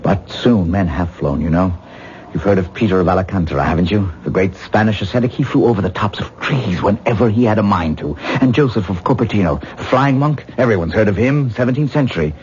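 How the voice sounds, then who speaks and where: A man's voice: lowish voice, expressive, brisk, darkish tone, close to an everyday voice. A male actor playing an obsessive, self-absorbed stage-actor husband in a 1970s radio drama, with a rapturous, theatrical, musing manner. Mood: fervent. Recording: muffled, hissy 1970s radio recording.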